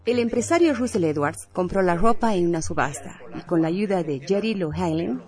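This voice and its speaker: A woman's voice: lowish voice, animated, moderate pace, a little mellow. A woman reading scripted news as co-presenter of a radio newscast.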